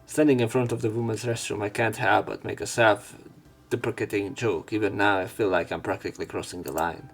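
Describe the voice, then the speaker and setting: Low-voiced man narrating a visual novel over music. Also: fairly even intonation, a little fast, few pauses. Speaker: let's play host reading aloud and commenting. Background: game music.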